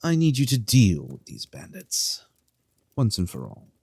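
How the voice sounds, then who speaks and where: A man's voice: lowish voice, huge pitch swings, a little slow, vocal fry, a little mellow, husky, no echo, full character voice. A man playing a haughty, dismissive king in a fantasy audio drama, imperious and contemptuous. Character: haughty, dismissive king giving orders to subjects.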